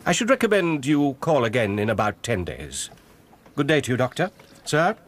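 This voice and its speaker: An actor playing a stern, guarded Victorian physician in a radio drama, speaking formally and with measured dismissiveness. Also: male voice, medium pitch, big pitch swings, slight background noise, close to an everyday voice. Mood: guarded, dismissive. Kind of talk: British radio drama acting.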